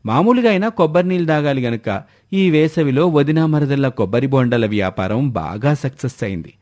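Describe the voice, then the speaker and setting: A man's voice: mid-range voice, lively intonation, a little fast, darkish tone. A man reading scripted story narration as a storyteller.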